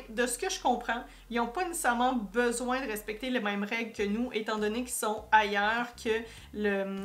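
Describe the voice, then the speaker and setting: A woman's voice: a little high, a bit bright. A woman from Quebec with a Québécois accent, hosting a casual explanatory commentary, talking straight to viewers and correcting herself as she goes. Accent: Québécois French. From Quebec, Canada. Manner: conversational, self-correcting, addresses viewers directly. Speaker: YouTube host and marketing commentator.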